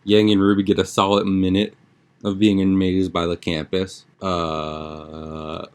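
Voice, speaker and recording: A man's voice: low-pitched, flat delivery, unhurried, smooth. A young man chatting casually as a podcast host, with an informal, slangy, rambling way of talking. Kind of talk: casual podcast chat, episode recap.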